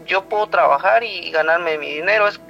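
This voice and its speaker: A Guatemalan man with a high, bright voice tells a personal story in a rambling, conversational way. He keeps quoting who said what, over clearly audible background music on a slightly dull recording. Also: normal speed. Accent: Guatemalan Spanish. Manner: rambling, retells dialogue with frequent "he told me" tags. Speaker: guest telling a personal story.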